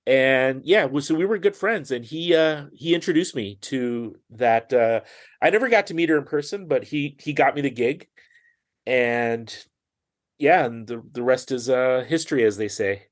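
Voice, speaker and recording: A man's voice: mid-range voice, animated, normal speed. A man speaking as a podcast guest in casual conversation, chatty, with frequent fillers and restarts. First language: English.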